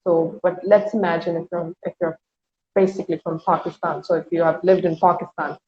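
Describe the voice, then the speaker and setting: A woman's voice: fairly low pitch, level tone, fairly quick, mellow. A woman who works as an English trainer, asking curious questions and reacting often in a casual video-call chat. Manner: curious, asks many questions, frequent reactions. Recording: echoey lo-fi call audio.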